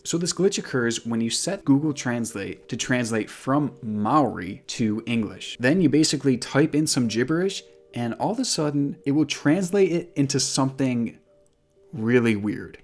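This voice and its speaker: A man whose first language is Igbo, hosting a casual vlog in an excitable, swearing, often disbelieving way. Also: medium pitch. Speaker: YouTube host.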